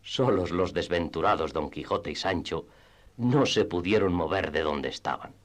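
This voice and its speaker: A man's voice: deep voice, normal speed. A man narrating a literary radio drama in a scripted storytelling style.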